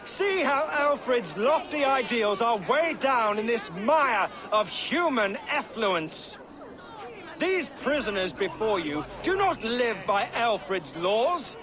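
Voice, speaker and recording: An actor playing a fanatical, pompous reeve who preaches executions to a crowd in contemptuous oratory, in a video game. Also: male voice, very high-pitched, big pitch swings, slow pace, full character voice. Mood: righteous contempt. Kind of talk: dramatic video game acting.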